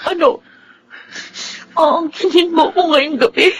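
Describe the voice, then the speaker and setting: A woman's voice: high-pitched, slow pace, a little hiss or hum. An actress playing a desperate, tearful, intense woman in a Tagalog radio melodrama. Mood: desperate, demanding. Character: desperate, emotional woman in anguished family drama.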